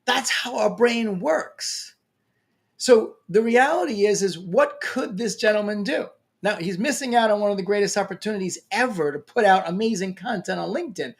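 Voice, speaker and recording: Lively New York man giving a pep talk. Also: high voice, normal speed. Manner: energetic, emphatic, playful. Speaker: LinkedIn coach. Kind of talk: motivational talk to camera.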